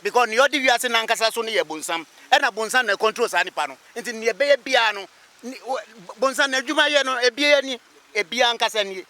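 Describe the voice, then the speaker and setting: Emphatic, bright, high-voiced Ghanaian man. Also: fast talker. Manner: emphatic, repeats phrases. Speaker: interviewed local motorist or resident. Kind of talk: street interview. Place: outdoors by a busy road.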